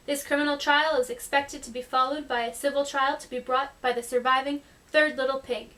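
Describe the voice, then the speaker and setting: A formal news reporter acted by a woman announces a breaking story for a puppet show. The voice is high-pitched and scripted, picked up from a little distance. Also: fairly bright tone. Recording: distant microphone.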